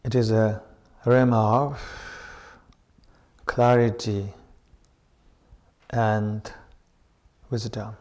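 A man leading a guided meditation as a teacher, speaking in short, unhurried phrases with long pauses between them. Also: fairly low pitch, flat delivery, darkish tone. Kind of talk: slow guided meditation with spoken reflections.

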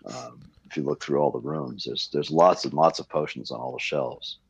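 Male podcast panelist, very deep register, casual and hesitant delivery, muffled lo-fi audio. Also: normal speed, gravelly, breathy edge, dry recording. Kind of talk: casual gaming discussion.

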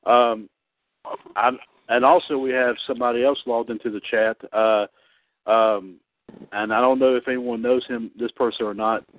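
A man in his thirties hosting a casual online radio show about wrestling. He talks haltingly, with many fillers and restarts. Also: medium pitch, steady tone. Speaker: podcast host. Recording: tinny phone-line sound, dry.

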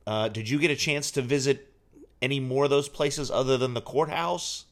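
A man's voice: mid-range voice, moderate pace. A man working as a radio interviewer, speaking in a casual, conversational style.

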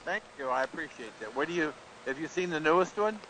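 A man co-hosting a talk show, speaking casually in a halting way with frequent restarts and chuckles. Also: a little high, expressive, bright, forceful tone, poor audio. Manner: halting, restarts often, chuckles while talking. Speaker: co-host and columnist. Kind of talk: casual talk-radio chat.

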